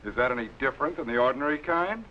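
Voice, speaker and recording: A man's voice: mid-range voice, expressive, racing, bright, forceful tone, low-quality recording. A man playing a dry, authoritative explorer captain in a 1950s sci-fi series, speaking precisely. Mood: wry. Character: wry, authoritative male space explorer captain. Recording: vintage film soundtrack, faint noise.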